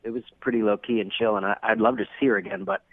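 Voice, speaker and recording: A man's voice: fairly low pitch, steady tone, very fast, no echo, phone-quality sound. A man calling in as a radio guest, telling a story in a casual, earnest, slightly self-conscious way with lots of hedging. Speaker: radio call-in guest recounting a date. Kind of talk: casual anecdotal chat.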